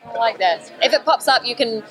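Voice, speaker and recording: A woman actor answering press questions in a lively, chatty way, laughing often. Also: a little high, brisk, a faint crowd, poor audio. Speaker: actor being interviewed. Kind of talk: press room interview answers.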